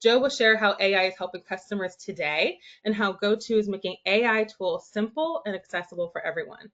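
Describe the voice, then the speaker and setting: A woman's voice: mid-range voice, a little fast, fairly bright tone. Upbeat woman hosting a webinar. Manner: upbeat and enthusiastic. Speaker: webinar host. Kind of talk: scripted introductions. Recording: dull lo-fi webinar audio.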